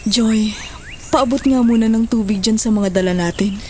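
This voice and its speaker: A Filipino woman playing a gentle, apologetic mother in an audio drama, speaking hesitantly and with emotion. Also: a little high, normal speed, slight background noise, lightly acted. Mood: grateful, tender. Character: gentle remorseful mother who gives up her baby. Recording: dramatic music bed behind the voice.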